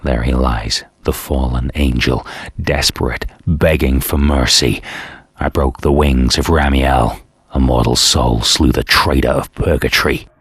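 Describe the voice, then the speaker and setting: A man's voice: extremely low pitch, fairly even intonation, measured pace, a bit bright, slightly rough, no room sound, studio quality, exaggerated voice. A man playing a gritty, bitter video game antihero with a sardonic, brooding delivery. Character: gritty, bitter, foul-mouthed male mortal antihero seeking redemption. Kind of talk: brooding first-person cutscene narration and dialogue. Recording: dramatic music behind the voice.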